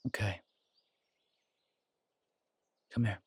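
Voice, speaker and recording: A man playing a loyal young male werewolf alpha in an audio roleplay, wry and protective, with a low-key, halting delivery. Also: no echo, close to an everyday voice. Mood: tender, reassuring.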